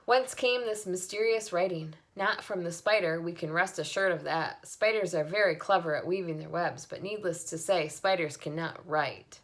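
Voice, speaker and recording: A woman voicing a pompous male fair announcer on a loudspeaker, speaking in a grand, showy way. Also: lowish voice, normal speed, hardly any pauses, close to an everyday voice.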